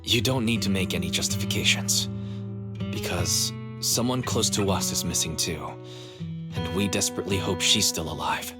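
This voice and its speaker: An actor playing a supportive, resolute young man in a video game, speaking calmly and earnestly to reassure. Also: low-pitched, normal speed, over loud music, natural voice. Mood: earnest, reassuring. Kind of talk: dramatic video game dialogue.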